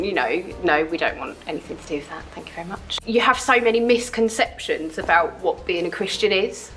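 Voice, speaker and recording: A woman giving an animated, self-deprecating personal baptism testimony, often repeating words. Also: low-pitched, poor audio.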